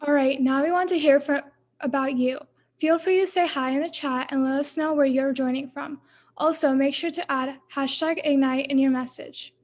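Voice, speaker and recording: Young American woman co-hosting a live stream, high voice, cheerful. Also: fairly even intonation, moderate pace. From USA. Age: young adult. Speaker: youth nonprofit team member.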